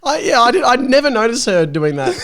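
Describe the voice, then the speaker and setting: A man's voice: very high voice, big pitch swings, fairly quick, a bit bright. A man hosting a podcast, chatting casually and reading aloud from a story in a self-mocking way, often breaking into laughter. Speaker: podcast host. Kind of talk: casual comedy chat, reading aloud his own old fan fiction.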